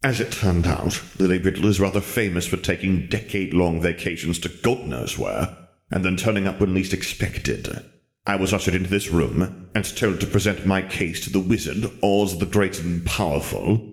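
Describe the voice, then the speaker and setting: A man voicing a dry, haughty dark wizard revolutionary in an audiobook story, speaking in a flat, wry, long-winded way. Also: low voice, normal speed. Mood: resigned, wry.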